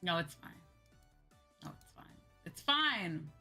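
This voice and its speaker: A woman's voice: on the low side, very animated, takes it slowly, creaky, bright, forceful tone, slightly rough, faint music behind. A woman livestreamer chatting casually and ranting in a dramatic, exasperated way, repeating phrases for emphasis. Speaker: livestreaming VTuber host.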